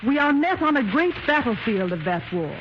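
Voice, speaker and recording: A woman reciting formally in a measured, declamatory manner on 1930s radio. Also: sits high, sing-song. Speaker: actress demonstrating microphones. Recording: noisy muffled 1930s radio recording.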